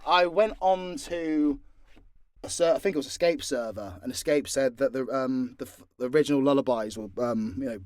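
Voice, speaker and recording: A man's voice: mid-range voice, moderate pace, darkish tone, no echo. Blunt British man, very dry sound. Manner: blunt, sweary. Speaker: audio drama creator answering fan questions. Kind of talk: casual unscripted Q&A chat.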